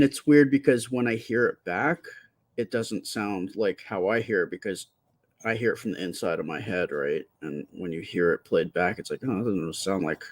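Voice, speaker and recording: A man with a quiet, soft voice chatting casually with viewers during a live stream while he draws. He rambles and stops to restart his sentences, speaking close to the microphone. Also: low-pitched. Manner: rambling, halting, with many fillers and restarts.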